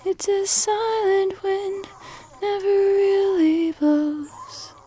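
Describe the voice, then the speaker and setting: A woman's voice: extremely high pitch, little pitch movement, very slow, mellow, soft background music, full character voice. A woman playing a wry, dreamy teenage girl in an audio drama. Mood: dreamy singing.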